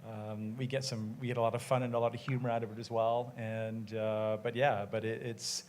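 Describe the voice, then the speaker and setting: A man's voice: on the low side, level tone, brisk, a little mellow, a little hiss or hum. A man who co-owns a brewery, answering passionately and emphatically on a panel in front of an audience, speaking into a microphone. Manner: emphatic, passionate, quotes conversations. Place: event room with an audience of brewers.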